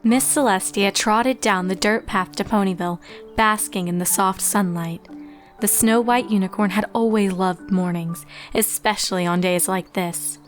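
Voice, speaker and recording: A woman's voice: medium pitch, moderate pace. A woman reading scripted storybook narration as the narrator of a fan fiction tale. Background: music.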